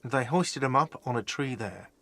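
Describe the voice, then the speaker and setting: Irritable, sardonic cafeteria manager. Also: male voice, medium pitch, expressive, moderate pace, pushed and bright, dry recording. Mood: curt, impatient. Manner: curt, sardonic, exasperated. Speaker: video game character. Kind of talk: game voice acting. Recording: music behind the voice. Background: game music.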